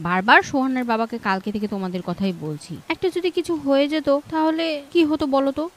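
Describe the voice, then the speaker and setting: A woman's voice: sits high, lively intonation, quick pace. A woman with a Bangladeshi accent playing a worried, caring married daughter in a cartoon, anxious and tender. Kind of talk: cartoon dialogue and storytelling. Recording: faint background noise.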